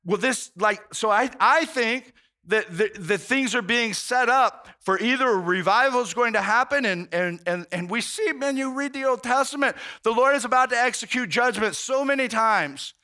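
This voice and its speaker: A man's voice: very high voice, normal speed, bright, forceful tone. A male pastor preaching a conversational sermon, earnest and informal, often restarting phrases and saying "man".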